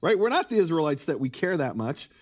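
A middle-aged man speaking as a guest, explaining biblical history in a lively, conversational way and acting out quotes. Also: on the high side, fairly quick. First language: English. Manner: animated, acts out quoted speakers. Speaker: guest scholar of biblical Hebrew. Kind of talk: conversational interview, lecturing.

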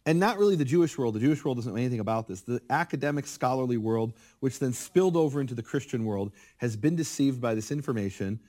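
A middle-aged man speaking as a guest, explaining biblical history in a lively, conversational way and acting out quotes. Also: mid-range voice, fast, few pauses. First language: English. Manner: animated, acts out quoted speakers. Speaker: guest scholar of biblical Hebrew. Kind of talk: conversational interview, lecturing.

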